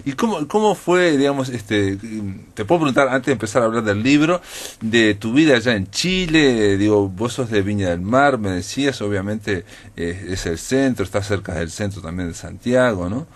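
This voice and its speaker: A male radio host talks through a relaxed interview on air. His voice sits on the low side, and he rambles, filling gaps and correcting himself as he goes. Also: moderate pace. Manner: rambling, many fillers and self-corrections.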